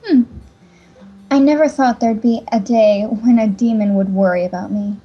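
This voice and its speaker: A woman's voice: on the high side, moderate pace, soft background music, natural voice. A woman playing a hesitant, skeptical heroine in an amateur game fandub, on a dull home recording. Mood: hesitant, polite. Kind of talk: amateur visual novel fandub acting.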